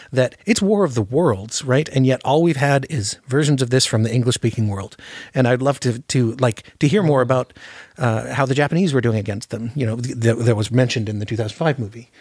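A man's voice: medium pitch, fast talker, darkish tone, no room sound. Man podcasting in a dry, close setting. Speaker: podcast host. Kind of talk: casual podcast discussion.

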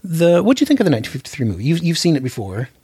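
Man in dry, slightly husky podcast chat. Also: on the high side, huge pitch swings, very fast, dark tone, no echo. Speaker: podcast host. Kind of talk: casual film discussion.

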